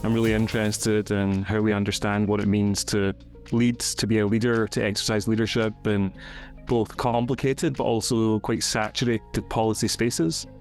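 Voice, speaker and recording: A man in his forties with a Glaswegian Scottish accent, an education professor explaining his career in a measured, articulate way as a podcast guest. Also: lowish voice, level tone, darkish tone, faint music behind. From Scotland. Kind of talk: podcast interview, reflective explanation.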